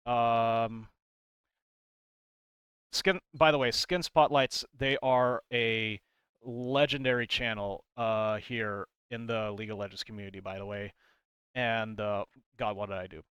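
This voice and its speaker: A male video creator talks through his commentary in a casual, rambling way. His voice is clear and resonant, emphatic on key words, with a very dry sound. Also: mid-range voice, fairly bright tone, no room sound. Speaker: video creator explaining game lore.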